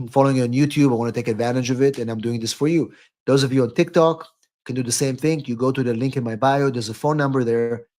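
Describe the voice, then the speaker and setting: Male live-stream host, attorney type, average pitch, informal advice delivery with repeated words and tag questions. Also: darkish tone. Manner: repeats words, tags sentences with "right?". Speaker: immigration attorney hosting a live call-in stream.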